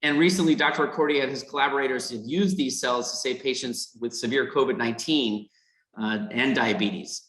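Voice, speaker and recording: A man's voice: medium pitch, a little fast, fairly bright tone, reverberant, poor audio. A man hosting a foundation event on a video call, reading prepared remarks with fillers and repeated words. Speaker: foundation host and interviewer. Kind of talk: scripted introduction.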